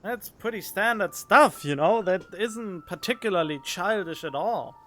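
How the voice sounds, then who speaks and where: A man's voice: animated, a little slow, a bit bright. A man giving casual, blunt commentary as he streams a video game. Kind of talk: casual let's play commentary. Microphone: studio condenser microphone. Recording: faint noise and low rumble.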